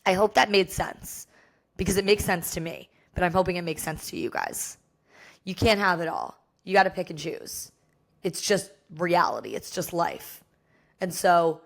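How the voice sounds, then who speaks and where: A young American woman with a hoarse voice, talking candidly and casually as the solo host of a podcast. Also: on the low side. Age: young adult. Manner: chatty, candid, frequent "like".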